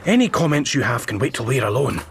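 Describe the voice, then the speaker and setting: A man playing an earnest, stern young Scottish hospital doctor in a radio drama, brisk and authoritative. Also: mid-range voice, very animated, slight background noise. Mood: stern, curt. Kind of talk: naturalistic radio drama acting.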